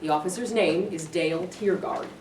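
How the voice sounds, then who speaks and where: A woman reading stage directions aloud at a staged play reading, plain and measured. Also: lowish voice. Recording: echoey room, distant mic, background noise.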